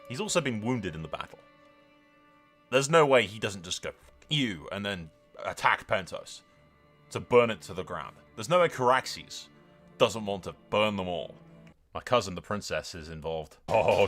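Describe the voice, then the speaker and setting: A man giving excited, sweary video game commentary with a theatrical, roleplaying streak. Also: fairly low pitch.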